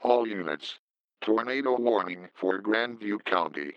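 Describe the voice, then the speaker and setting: A man's voice: lowish voice, animated, measured pace, smooth, no echo, dull audio. A man playing an official emergency dispatcher, giving a clipped, scripted radio alert to units. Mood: official, serious.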